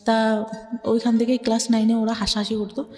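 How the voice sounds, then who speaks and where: Soft, hesitant young Bangladeshi woman. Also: a little high, little pitch movement, moderate pace, big-room sound, soft background music. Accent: Bangladeshi Bengali. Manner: hesitant, soft, many filler words. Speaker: interview guest. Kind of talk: personal life story in conversation.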